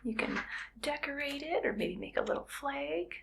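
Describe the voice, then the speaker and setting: A woman playing a quirky, friendly art teacher in a soft, whispered ASMR roleplay, speaking in a gentle, unhurried murmur. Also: sits high, expressive, creaky, background noise, heavily acted. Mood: soft, encouraging. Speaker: ASMR performer.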